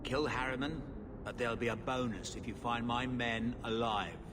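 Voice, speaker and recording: A man playing a rough, cynical mercenary boss with a coarse, slangy way of talking, as in a video game. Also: medium pitch, fairly even intonation, natural voice. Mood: gruff, businesslike. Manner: coarse slangy drawl with measured pauses. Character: rough, cynical male mercenary boss, streetwise crime broker. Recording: loud noise behind the voice.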